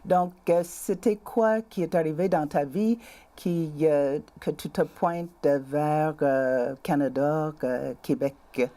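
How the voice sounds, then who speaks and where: A woman with a Québécois accent hosting a community TV interview. She asks halting questions broken up by many fillers. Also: low-pitched, warm tone. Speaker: host.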